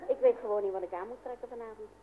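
A woman's voice: fairly high pitch, very fast, close to an everyday voice. An actress playing a chatty middle-aged Dutch wife and mother, gushing and bustling, in an old-fashioned radio comedy. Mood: fretting, chatty. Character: chatty, theatre-loving Dutch wife and mother, easily smitten. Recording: muffled, phone-like old radio recording, faint noise.

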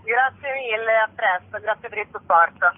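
A woman's voice: fairly high pitch, normal speed. A woman giving an informal, chatty radio interview as a festival organiser, explaining things conversationally. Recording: muffled narrow phone line on radio.

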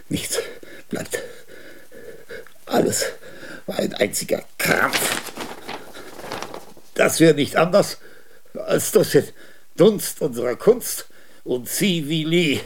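An actor in a radio play voicing a weary, bitter aging composer, talking in rambling fragments with murmured asides and sudden outbursts, husky and gravelly. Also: male voice, medium pitch, full character voice. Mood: scornful. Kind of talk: radio drama monologue. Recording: dry studio radio play.

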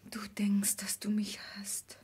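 Radio drama heroine: frightened but devoted young woman, extremely breathy, hushed, hesitant. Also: medium pitch, completely flat delivery, takes it slowly. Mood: pleading. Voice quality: breathy, whispery. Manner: hushed, hesitant, brief replies. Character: anxious, devoted young woman hiding her fear.

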